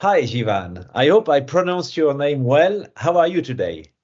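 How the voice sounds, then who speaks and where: A man's voice: fairly high pitch, big pitch swings, normal speed, darkish tone. A man hosting an interview podcast, friendly and hesitant, often restarting his sentences. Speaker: podcast host. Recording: muffled lo-fi audio.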